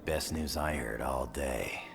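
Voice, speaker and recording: An actor playing a gruff, surly tough-guy mutant hero in a video game, with a terse, gravelly growl. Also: rumbling low, slow, long reverb tail, faint music behind, exaggerated voice. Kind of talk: video game cutscene acting.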